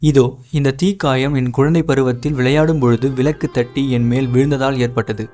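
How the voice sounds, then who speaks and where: A man's voice: medium pitch, fairly even intonation, fairly quick, mellow, faint music behind, lightly acted. An actor voicing a scheming young male impostor posing as a prince in a cartoon, smug and confident. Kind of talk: cartoon voice acting.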